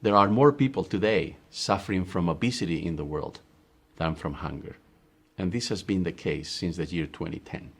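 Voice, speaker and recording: A middle-aged man from Spain with a Spanish accent, giving a measured, emphatic online course lecture as a university professor and posing rhetorical questions to students. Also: low voice.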